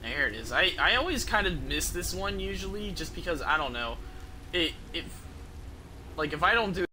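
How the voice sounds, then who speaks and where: A young adult man giving casual live gameplay commentary, halting and rambling, with frequent swearing. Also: a little high, big pitch swings, pushed and bright, a little hiss or hum, poor audio. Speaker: video game streamer. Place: indoor room at home.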